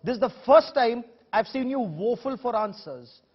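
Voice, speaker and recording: A man's voice: very high voice, lively intonation, moderate pace, slightly rough, long reverb tail, highs cut off. A man with an Indian accent hosting a broadcast debate, putting emphatic, combative questions and repeating phrases for effect. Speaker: news debate host.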